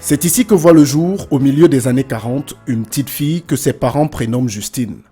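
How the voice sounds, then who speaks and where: A man's voice: medium pitch, a little fast, warm tone, husky. A man reading scripted narration for a television portrait. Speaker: documentary narrator. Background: music.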